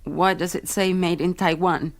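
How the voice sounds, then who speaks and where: An actress in a radio drama playing a dry, skeptical adventuring companion. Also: female voice, on the low side, steady tone, moderate pace, no room sound, close to an everyday voice.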